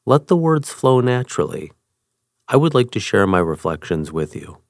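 A man with a fairly low voice reads a first-person article aloud from a script. His delivery is measured and even. The sound is close and dry, with no room around it. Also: gravelly, no room sound. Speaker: reader.

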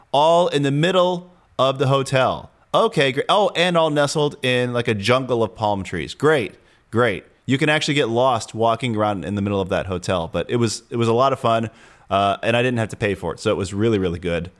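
A man hosting a casual podcast, chatty and rambling with fillers and restarts. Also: medium pitch. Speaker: podcast host.

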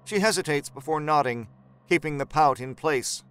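A man narrating a horror story in a measured, suspenseful, dramatic storytelling style. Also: a little high, a bit bright, smooth, natural voice.

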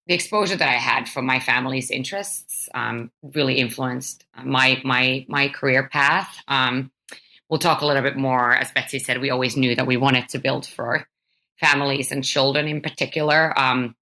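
A woman from Finland speaks casually about herself as a founder. Her voice is extremely low for a woman yet bright and firm-edged. She is reflective and halting, often searching for the next word. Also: fairly even intonation. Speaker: startup founder, guest. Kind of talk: casual spoken self-introduction.

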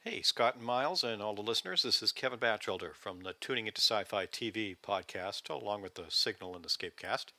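A man's voice: fairly low pitch, animated, a little fast, hardly any pauses, sharp tone, no room sound. A man leaving a casual, friendly recorded message as a fellow podcaster. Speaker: podcaster leaving listener feedback.

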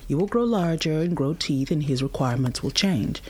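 A woman playing a patient, tired mother in an audio drama, explaining things plainly and with gentle firmness in a husky voice. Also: deep voice, expressive, brisk. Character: patient, tired human mother of a nursing infant.

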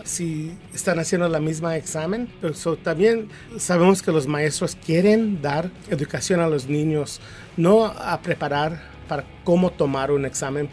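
American man speaking halting, higher Spanish. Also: darkish tone. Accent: American English. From Kansas, USA. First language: English. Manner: halting, searches for words, accepts prompts. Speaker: teachers' union representative. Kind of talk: interview answers in second-language Spanish.

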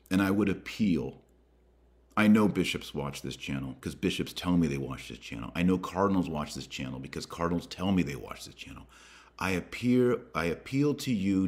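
A man with a deep, low-pitched voice speaks as a religious podcast host. He exhorts his listeners emphatically, pausing and repeating for weight, and mixes in colloquial turns of phrase. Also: darkish tone. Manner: emphatic, preachy, with dramatic pauses and repetition.

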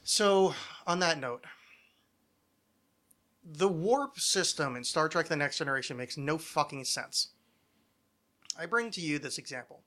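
A man's voice: on the high side, expressive, unhurried, long pauses, pushed and bright. A man hosting a podcast, chatting casually in an opinionated, nerdy, swearing rant. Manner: ranting, profane, nerdy. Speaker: podcast host.

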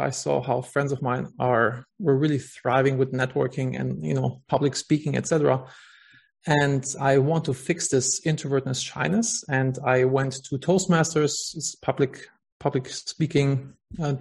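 Gravelly German-accented man, earnest guest. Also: medium pitch, a little mellow. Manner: earnest, hesitant, many fillers and restarts. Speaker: podcast guest, software founder. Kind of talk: casual interview talk.

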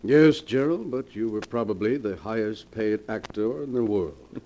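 A low, sly radio-drama accomplice. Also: male voice, lively intonation, moderate pace, warm tone. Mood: wry flattery. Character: sly, greedy male accomplice, flattering and wary. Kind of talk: 1940s radio melodrama acting. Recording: noisy 1940s radio recording.

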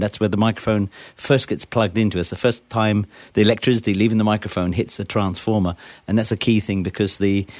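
A man on a panel, explaining audio technology in a measured way after some halting starts. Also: on the low side, no room sound.

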